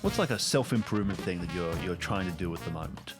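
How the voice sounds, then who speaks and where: A man's voice: sits low, expressive, quick pace. A man hosting a casual, joking chat podcast with a playful, hyped-up delivery. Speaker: host. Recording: guitar music under the voice. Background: plucked guitar music.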